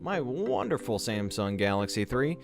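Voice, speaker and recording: Young man, rapid rambling vlog chatter, stutters, mid pitch, faint music behind. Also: creaky. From Georgia, USA. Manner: fast excited rambling with stutters and fillers. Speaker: gaming channel host. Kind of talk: casual vlog talk to camera.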